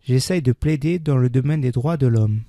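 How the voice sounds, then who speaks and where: Creaky-voiced man reading French slowly. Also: mid-range voice, warm tone, dry recording, studio quality. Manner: repeats each sentence, deliberate diction. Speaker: French language teacher. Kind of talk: slow scripted reading for learners.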